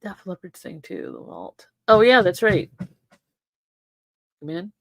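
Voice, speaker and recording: A woman's voice: on the low side, huge pitch swings, unhurried, gravelly, dry recording. A woman in her sixties co-hosting a casual chat, repeating catchphrases and laughing often in playful banter. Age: 60s. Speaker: co-host. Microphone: desk microphone.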